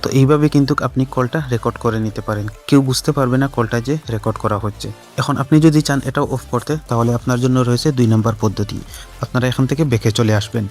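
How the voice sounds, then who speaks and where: A man hosts a step-by-step tech tutorial at a quick pace. He sits at an average male pitch and repeats instructions directly to his viewers, with music playing behind him. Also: a little mellow. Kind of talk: scripted-sounding how-to narration addressed to viewers. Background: background music.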